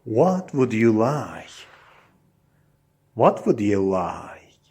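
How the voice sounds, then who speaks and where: Very slow-paced man reading lesson phrases. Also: lowish voice, very animated, a little mellow, husky. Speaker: English teacher. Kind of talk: English lesson, reading short phrases aloud with repetition.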